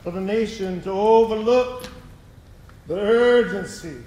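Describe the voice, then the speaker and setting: A man's voice: high voice, measured pace, mellow. A man performing in a readers theatre piece, declaiming in an impassioned, emphatic oratorical style. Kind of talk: impassioned oratory in staged reading. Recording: echoey live room.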